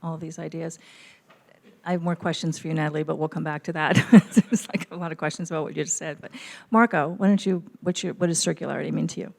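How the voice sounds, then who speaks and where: A woman moderating a panel discussion, reacting with enthusiasm and quick asides. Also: low voice, fairly even intonation, vocal fry. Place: retail store event space.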